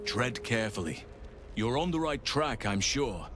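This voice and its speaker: A man voicing a composed, cautious counsellor in a fantasy video game, speaking in a measured, formal way. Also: mid-range voice, sing-song, music in the background. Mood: cautioning. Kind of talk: video game character acting.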